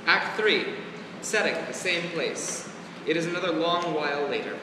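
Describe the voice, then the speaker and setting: A man's voice: fairly high pitch, expressive, normal speed. A man reading out scripted stage directions as a narrator at a staged reading of a play. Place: theatre or hall staged reading. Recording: very echoey hall, distant mic, noisy.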